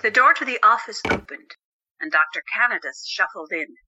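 A woman's voice: medium pitch, expressive, moderate pace, dull audio, background noise. A woman narrating a scripted dramatic story as an audio drama narrator. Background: music.

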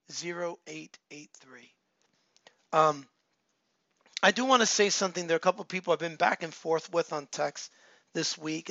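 A man's voice: fairly high pitch, fairly bright tone, no echo, lo-fi sound. A man pastor giving announcements and appeals in an online church service, earnest and repetitive, his voice still worn from a recent cold. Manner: earnest, repeats key phrases, many filler ums. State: recovering from a cold, voice not fully back.

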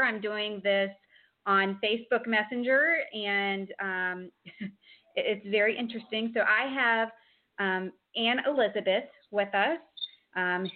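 A woman hosting a talk show over a video call, hesitant, with frequent ums and restarts. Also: mid-range voice. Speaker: host. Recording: tinny phone-line audio.

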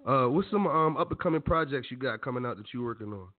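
A man's voice: medium pitch, expressive, fast. Male host, casual and hyped, narrow phone-like sound. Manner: enthusiastic, slangy interviewer. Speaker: radio show host. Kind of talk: casual radio interview.

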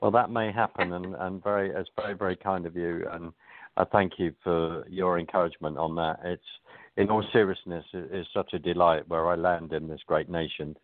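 Deep-voiced London man on a phone. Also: steady tone, dry recording. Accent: London English. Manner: halting, hesitant, courteous. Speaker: radio guest. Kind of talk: phone-in interview. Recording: tinny phone line.

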